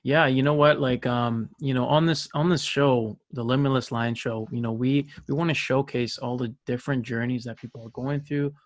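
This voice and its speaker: A man of middle pitch hosts a casual interview. He speaks enthusiastically, praising and affirming his guest. His voice comes across dry, with no room sound. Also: fairly even intonation, normal speed, few pauses, no echo. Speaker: podcast host.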